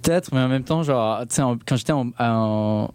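A man's voice: mid-range voice, normal speed, darkish tone, clear, resonant tone, no echo. A young man from Belgium, born in northern England, chatting casually as an interview guest in informal, slangy speech mixed with English words. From born in northern England, grew up in Belgium. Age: young adult.

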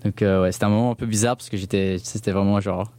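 A man's voice: fairly low pitch, fairly even intonation, racing, dark tone, dry recording. A young man from Belgium, born in northern England, chatting casually as an interview guest in informal, slangy speech mixed with English words. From born in northern England, grew up in Belgium. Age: young adult.

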